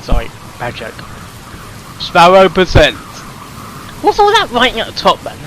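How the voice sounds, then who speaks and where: A man with a fairly high voice hosts a reaction video, chatting casually as he comments along. There is faint noise behind him. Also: wildly swooping pitch, moderate pace, a bit bright, rough recording. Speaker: host of a reaction channel.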